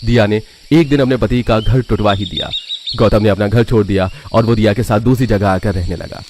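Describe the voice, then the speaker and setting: A man's voice: lowish voice, brisk, a little mellow, a little hiss or hum. A man narrating a scripted family story.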